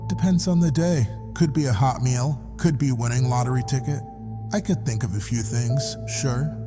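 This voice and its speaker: A man's voice: medium pitch, normal speed, over music, close to an everyday voice. An actor playing a folksy, wry hospital janitor who calls in and tells his story matter-of-factly in a horror audio drama. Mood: casual, game. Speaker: caller.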